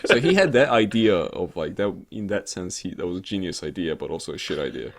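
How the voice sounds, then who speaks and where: A man's voice: sits low, expressive, a little fast. A man co-hosting a comedic video game podcast, chatting casually in a rambling, sweary way. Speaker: podcast co-host. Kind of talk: casual comedic chat about video games.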